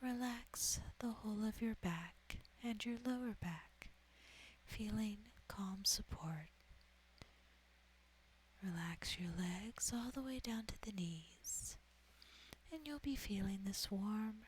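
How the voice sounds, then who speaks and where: A woman guiding an ASMR meditation in a breathy, whispery, soft-spoken voice, soothing and gentle. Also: medium pitch, slow pace, a little hiss or hum, poor audio. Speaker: meditation guide, ASMR host.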